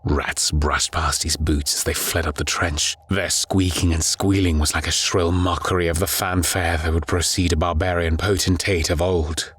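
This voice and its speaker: A man narrating a scripted horror audiobook in a grave, measured storytelling manner. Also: sits low, fairly even intonation, a bit bright, husky.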